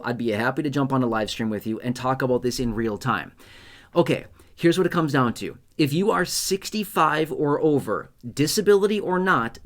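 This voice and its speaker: A man hosting an explainer video in a casual, conversational way, often repeating and rephrasing points for emphasis. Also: mid-range voice. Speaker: host of a benefits news channel. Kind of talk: casual explainer talk to camera.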